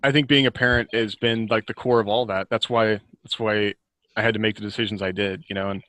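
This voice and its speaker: Low-voiced man, rambling and reflective. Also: fairly even intonation, fairly quick, a bit bright, dry recording. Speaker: author and podcast guest. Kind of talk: casual reflective conversation about writing and life.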